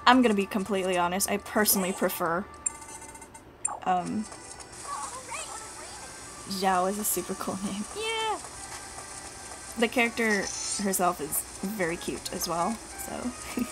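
A woman streams a video game with casual, playful commentary. She talks to the game and exclaims at it in a mid-pitched voice. Game music plays clearly behind her. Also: animated, measured pace, pauses often, poor audio. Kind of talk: casual gaming commentary, reading game dialogue aloud.

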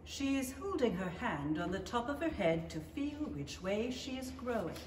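Female radio-play narrator, slightly high and expressive, recorded from afar in a noisy, echoey hall. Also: moderate pace. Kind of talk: scripted present-tense narration for a 1930s-style radio play. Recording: distant, echoey hall with noise.

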